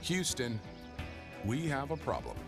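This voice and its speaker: A man's voice: medium pitch, huge pitch swings, measured pace. A man narrating a scripted nature documentary clip, with music playing behind his voice. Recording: loud music under voice. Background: loud music.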